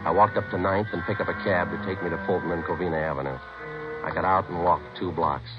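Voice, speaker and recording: A man playing a terse, clipped undercover narcotics detective in a vintage radio crime drama, deadpan and matter-of-fact. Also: low-pitched, fast, lightly acted. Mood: flat narration. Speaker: lead actor and narrator. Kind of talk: 1950s radio crime drama acting and narration. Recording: muffled vintage radio recording, music behind.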